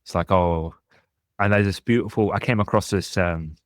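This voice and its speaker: Deep-voiced man hosting a podcast. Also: moderate pace, no echo, clean studio sound. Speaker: podcast host. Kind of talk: casual chat.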